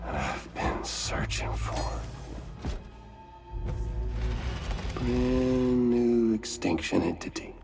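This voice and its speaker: Slow gravelly southern game villain, taunting and theatrical, savors every word. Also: male voice, lowish voice, darkish tone. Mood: taunting, sinister. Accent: American Southern. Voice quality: gravelly, breathy drawl that drops to whispers. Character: gloating, theatrical, vengeful male villain with apocalyptic plans. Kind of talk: cinematic video game acting.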